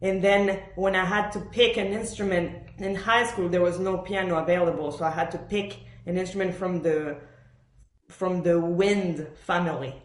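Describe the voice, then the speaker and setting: A woman's voice: fairly low pitch, fairly even intonation, normal speed. A woman from Argentina and Montreal speaking as an interview guest, telling personal stories conversationally and acting out quoted remarks. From born in Argentina, raised in Montreal, Canada. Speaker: podcast guest, professional orchestral bassoonist. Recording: echoey room sound.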